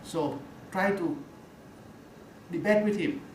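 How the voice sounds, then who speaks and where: Monk telling a story in halting English. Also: male voice, sits high. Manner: halting non-fluent English, many "uh" fillers and restarts. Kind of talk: storytelling dharma talk. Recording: roomy echo, faint background noise.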